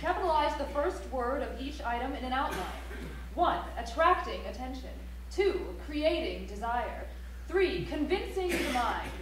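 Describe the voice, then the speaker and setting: A woman's voice: high-pitched, sing-song, a little slow. A woman playing a prim grammar instructor on stage, reciting rules in clipped, emphatic, didactic commands. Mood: instructive. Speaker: stage actor. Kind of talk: theatrical recitation of a rulebook. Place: library auditorium stage, live play. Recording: echoey auditorium from far off, faint hum.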